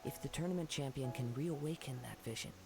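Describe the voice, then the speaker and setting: Thoughtful male wanderer for anime game, played by a man; measured, gravelly, mid-pitched, music underneath. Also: natural voice. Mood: calm, reflective. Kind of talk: anime video game voice acting.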